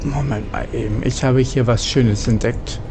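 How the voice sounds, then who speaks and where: A man's voice: mid-range voice, fairly even intonation, normal speed, background noise. A man hosting a gameplay video, reading out game dialogue and adding casual comments over game sound. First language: German. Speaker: gameplay host. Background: faint game music.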